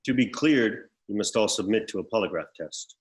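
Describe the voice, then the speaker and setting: Man acting a severe, deadpan official, low voice. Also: normal speed, natural voice. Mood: formal, impassive. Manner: formal, deadpan, precise. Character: severe, emotionless executor in a suit, coolly officious. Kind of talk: scripted comedy table read. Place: remote video call.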